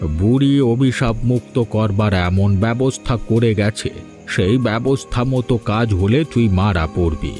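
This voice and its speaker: Low, ominous holy man acted by a man. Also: unhurried, close to an everyday voice. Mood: stern, ominous. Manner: solemn, prophetic pronouncements. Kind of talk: cartoon fairy-tale voice acting. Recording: background music under dialogue.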